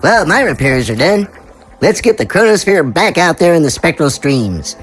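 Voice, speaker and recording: An actor playing a wisecracking space crewmate who is not human. The pitch swings about freely as the cheerful, folksy patter rolls along in an audio drama skit, over faint music. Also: very animated, normal speed, natural voice. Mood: chatty, breezy.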